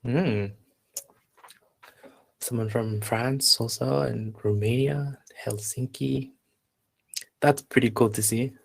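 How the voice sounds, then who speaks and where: A man from Zambia hosting a live online workshop, chatting casually and haltingly as he reads out attendees' replies. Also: medium pitch, fairly even intonation, gravelly, low-quality recording. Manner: unhurried, halting, reading out chat replies. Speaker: workshop instructor and host.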